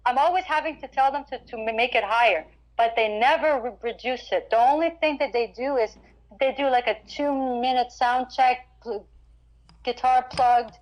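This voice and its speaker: A woman's voice: a little high, moderate pace, poor audio. A woman singer talking casually in an interview about her experiences on stage, often restarting her sentences. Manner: chatty, frequent restarts and stammers. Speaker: guest singer-guitarist.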